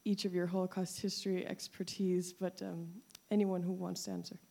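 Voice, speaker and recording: A woman asking a spontaneous question at a panel discussion, tentative and pausing often. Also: lowish voice, flat delivery, creaky, dark tone. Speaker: audience questioner, director of a humanities organisation.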